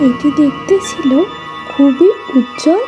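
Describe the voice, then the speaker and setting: High-pitched woman narrating over music. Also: a little slow. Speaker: narrator of a children's cartoon story. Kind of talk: scripted story narration.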